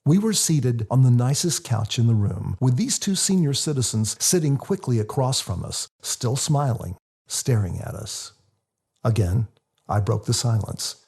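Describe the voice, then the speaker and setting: A man reading his own book as scripted audiobook narration, in a husky, gravelly voice. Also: medium pitch, lively intonation, measured pace, darkish tone.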